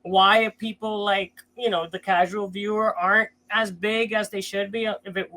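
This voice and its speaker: A man's voice: high-pitched, flat delivery, normal speed, pushed and bright, poor audio. A man with a nasal voice, a podcast panelist giving chatty, hedging analysis with many fillers. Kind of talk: casual panel chat.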